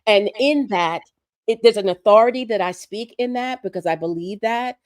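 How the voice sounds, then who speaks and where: A hoarse woman, sick with a respiratory virus, making earnest, emphatic points as a guest on a livestream conversation. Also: medium pitch, moderate pace, no room sound, clean studio sound. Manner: earnest, emphatic, building points through repetition. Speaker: guest commentator.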